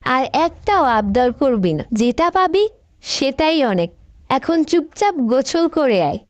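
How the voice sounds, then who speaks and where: A woman's voice: high voice, very animated, measured pace, dry recording. A woman voicing a bossy, scolding fallen female leader with dramatic, mocking delivery in a comic cartoon. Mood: scolding, disgusted.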